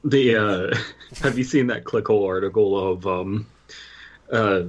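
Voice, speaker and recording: A man's voice: lowish voice, measured pace, muffled recording, slight background noise. A man co-hosting a podcast, switching between casual comedic chat and reading aloud. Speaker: podcast co-host.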